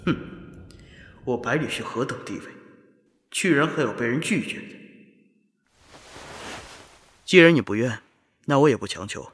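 A man's voice: mid-range voice, lively intonation, fairly quick, a bit bright, slight background noise, natural voice. A man voicing a proud, aloof senior talisman master who speaks in a cool, terse way in an amateur audio drama.

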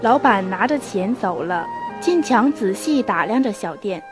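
A woman's voice: mid-range voice, lively intonation, measured pace. A young woman reading scripted storytelling narration for a student radio drama. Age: young adult. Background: music.

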